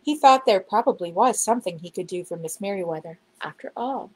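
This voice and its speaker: Woman reading kids story out loud, very animated storyteller, normal pitch. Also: brisk. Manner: expressive, dramatic storytelling. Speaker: children's book reader. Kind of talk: storybook narration, read aloud.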